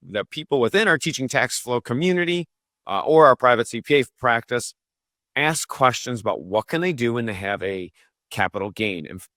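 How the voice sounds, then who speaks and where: A male podcast host at a middle pitch who sounds eager as he opens the show. He often stops and restarts his phrases while he talks. The sound is dry and close to the mic. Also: fairly bright tone, no echo. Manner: enthusiastic, restarts and self-corrections. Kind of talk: podcast interview.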